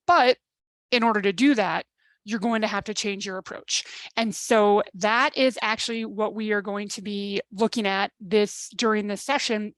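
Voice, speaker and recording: A woman's voice: medium pitch, fairly bright tone, no echo. A middle-aged woman dating coach giving a live webinar masterclass, talking in a chatty, emphatic way and acting out her listeners' complaints.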